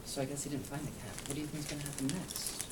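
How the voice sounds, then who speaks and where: A man's voice: fairly high pitch, steady tone, quick pace. A man reading a picture book aloud to a young child, explaining and asking simple questions as he goes. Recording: distant mic, clear background noise.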